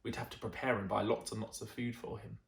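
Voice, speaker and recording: A man's voice: on the low side, level tone, fast talker, gravelly, reverberant. A man reading a children's picture book aloud as a storyteller, with dramatic emphasis and friendly asides to his listeners.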